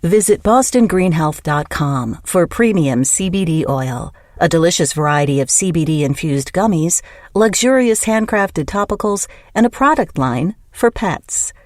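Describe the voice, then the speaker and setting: Dry, low-voiced woman reading an ad. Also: lively intonation, a little mellow, dry recording. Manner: brisk promotional reading. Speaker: ad reader. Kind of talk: read sponsor advertisement.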